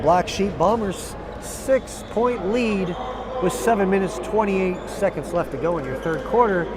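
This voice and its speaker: A hoarse man with a raspy voice doing live, partisan color commentary for a basketball game. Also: sits high, noisy background. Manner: partisan, cheers on one team with "we". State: hoarse, tired voice.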